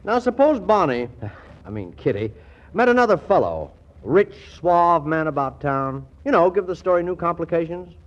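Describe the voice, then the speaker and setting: A man's voice: a little high, big pitch swings, normal speed. An actor playing a brash, girl-chasing American writer pal in a 1940s radio comedy. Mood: slyly angling. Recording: muffled, faintly noisy 1940s radio recording.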